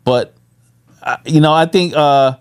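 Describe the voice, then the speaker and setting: A man's voice: on the high side, a little slow, smooth. A man chatting as a podcast co-host, often repeating words as he searches for his phrasing. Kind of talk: casual podcast conversation.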